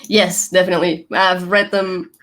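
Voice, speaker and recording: A young woman with a softened Venezuelan Spanish accent, Spanish her first language, chatting as a guest and telling rambling stories. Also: fairly low pitch, flat delivery, moderate pace. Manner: chatty, laughs often, rambling storyteller. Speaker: guest, YouTuber. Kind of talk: casual interview chat.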